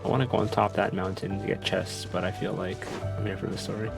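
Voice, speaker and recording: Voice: low-pitched, level tone, fast talker, vocal fry. A game streamer chatting casually and reacting while playing. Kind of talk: casual reactive gameplay chat. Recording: voice over loud game music.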